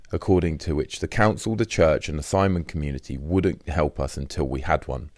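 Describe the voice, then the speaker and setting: A man's voice: a bass voice, darkish tone, no echo. A man reading a first-person diary as scripted audiobook narration.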